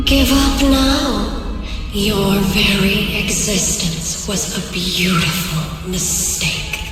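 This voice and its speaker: Menacing phantom woman for a game: crawling pace, condescending false tenderness, far off in cavernous echo. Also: medium pitch. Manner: slow, drawn-out condescending delivery. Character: sinister phantom woman's voice, mock-motherly, cruelly taunting. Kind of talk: video game voice acting. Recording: distant, vast echoing reverb over loud music.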